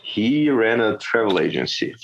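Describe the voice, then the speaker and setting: A man's voice: lowish voice, sing-song, moderate pace, creaky, highs cut off. A man with an Armenian accent, a comedian guest chatting casually on a podcast, hesitant, often repeating words and laughing.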